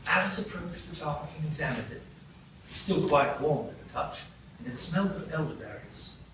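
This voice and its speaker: A man's voice: fairly high pitch, animated. Man narrating on an echoey stage. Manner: measured, storytelling. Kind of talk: storybook narration in a live radio play. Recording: echoey live stage from far off, with noise.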